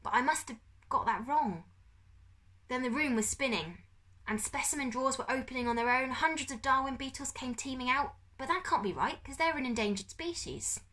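A woman reading an audiobook, voicing a frightened, broken father who speaks weakly and pleads, raising her pitch well above her own. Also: expressive, a little fast, bright, forceful tone. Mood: confused, shaken. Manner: weak, shaken, pleading. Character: frightened desperate middle-aged father, broken, tearful. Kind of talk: audiobook character voice.

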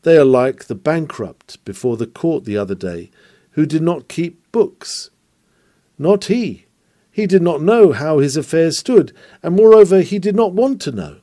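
A man's voice: on the high side, very animated, a little slow, mellow. A man reading aloud an old sermon in a declamatory preaching style, pushing up hard on exclamations and questions. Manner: declamatory, rising emphasis on exclamations. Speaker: reader of a sermon.

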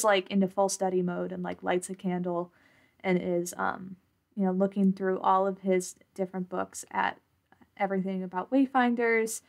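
Woman playing a tabletop game, kinda hesitant, takes her time describing what her character does. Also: mid-range voice. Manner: hesitant, thinking aloud. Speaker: tabletop role-play player. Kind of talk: improvised character narration in a tabletop game podcast.

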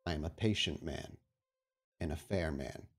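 A man voicing a patient, menacing vampire prince in a tabletop game, speaking slowly and with measured authority. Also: extremely low pitch, heavy background noise. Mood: stern, measured. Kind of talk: in-character tabletop roleplay speech. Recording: music behind the voice.

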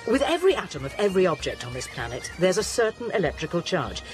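A sharp, inquisitive woman scientist in a radio drama. She explains with dry wit and very lively intonation. Faint music sits behind her. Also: fairly low pitch, fast. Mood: keen, wry.

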